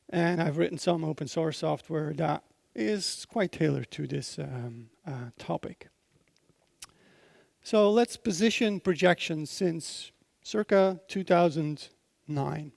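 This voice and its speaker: A man's voice: a little high, gravelly, darkish tone, no echo, studio quality. A man from Belgium with a Belgian accent gives a technical conference talk, explaining things informally and often checking in with his listeners. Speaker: conference speaker, software consultant.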